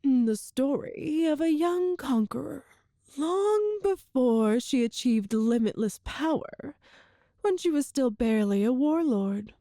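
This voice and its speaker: A woman playing a cruel, seductive empress in a dramatic ASMR roleplay, with haughty, theatrical, deliberate phrasing. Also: sits high, animated, mellow, dry recording, clean studio sound, heavily acted. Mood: storytelling, beguiling. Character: cruel imperious empress, seductive, menacing tyrant.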